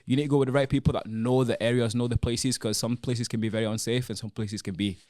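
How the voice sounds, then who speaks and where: Glaswegian guy in his twenties, easygoing and frank, dry close sound, mid-pitched. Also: steady tone, fast talker, darkish tone, no echo. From Scotland, born in Congo. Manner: laid-back, frank, peppers talk with "man" and "mate". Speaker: guest. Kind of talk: casual chat. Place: podcast studio.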